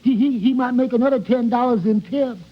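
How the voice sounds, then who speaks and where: Very high-pitched stammering little barber. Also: male voice, brisk. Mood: eager, flustered. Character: Shorty, timid, flustered stuttering little barber. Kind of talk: 1940s radio comedy acting. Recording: dull 1940s radio recording.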